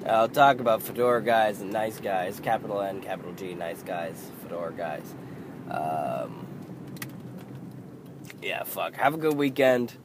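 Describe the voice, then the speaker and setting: Male podcast host, 30s, hoarse, failing voice, slow and rambling, traffic noise behind. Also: on the low side, lively intonation. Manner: rambling, halting, swears under his breath. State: losing his voice, hoarse and croaky. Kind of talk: casual solo podcast monologue.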